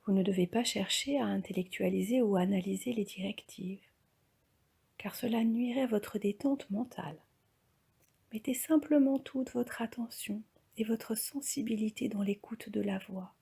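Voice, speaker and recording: A woman leading a guided yoga relaxation as an instructor, speaking calmly and softly, often close to a whisper. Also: medium pitch, normal speed. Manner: calm, soft, breathy, often near-whispered delivery.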